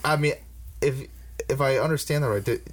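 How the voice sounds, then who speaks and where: A man's voice: medium pitch, a little fast. A man in his early twenties hosting a casual podcast, rambling with fillers and swearing. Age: early 20s. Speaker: podcast host.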